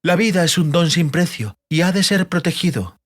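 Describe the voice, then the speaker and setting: A man's voice: fairly high pitch, expressive, normal speed, voice pushed up. A man voicing a proud male elf in a fantasy audiobook, cold, formal and reproving. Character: cool, proud, dignified slender male elf.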